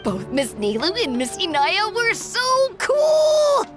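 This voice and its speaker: An excitable little child in an English game dub. The voice is extremely high and swoops wildly, drawing words out slowly over faint music. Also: female voice, full character voice. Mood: thrilled admiration.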